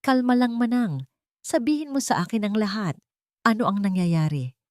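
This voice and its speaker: Woman narrator as wealthy gentleman, calm, reassuring, direct, mellow, audio-story dialogue. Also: medium pitch, normal speed, no room sound, clean studio sound, voice pushed up, natural voice. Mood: calm, concerned. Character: calm, concerned rich businessman son.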